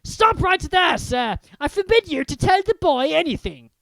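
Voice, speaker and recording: A reader voicing a pompous, blustering middle-aged man in a storybook, who barks sharp commands. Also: extremely high pitch, wildly swooping pitch, a little fast, no room sound, pitched above the performer's own voice, exaggerated voice. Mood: panicked.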